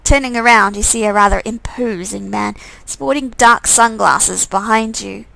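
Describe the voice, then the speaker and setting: A woman's voice: medium pitch, normal speed, gravelly, pushed and bright, dry recording, rough recording. A woman reading a visual novel aloud as a game streamer, adding playful, wry asides of her own. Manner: playful, wry side comments.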